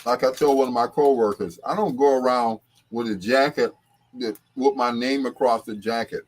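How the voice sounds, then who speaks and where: A man's voice: mid-range voice, fairly even intonation, moderate pace. A man hosting a casual livestream, talking to viewers in a rambling, conversational way. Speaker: livestream host.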